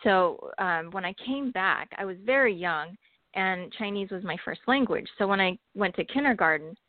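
A woman's voice: medium pitch, animated, moderate pace, no room sound. A woman from the United States, an actress, talking candidly in a casual interview with frequent laughs. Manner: candid, laughs while telling stories. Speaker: actress guest. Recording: tinny phone-like line.

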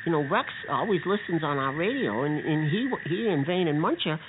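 Casting: male actor as nervous, fussy rescuer in kids' radio play, stammering, pitch leaping about, tinny noisy audio. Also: expressive, a little fast, close to an everyday voice. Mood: worried. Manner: stammering, fussy, repeats himself. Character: anxious, exasperated male rescuer in a children's story. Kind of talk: improvised children's radio drama.

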